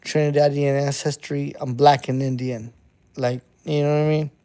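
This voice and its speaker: Man, 30s, fairly high voice, dark and mellow, unhurried, blunt, cusses a lot. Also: flat delivery, smooth. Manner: blunt and profane, repeats himself. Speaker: underground hip-hop artist streaming to chat. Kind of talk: casual live-stream monologue.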